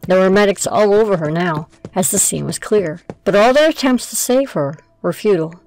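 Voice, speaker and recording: A woman's voice: mid-range voice, lively intonation, moderate pace, darkish tone, rough recording. A woman narrating a scripted audio drama as its storyteller.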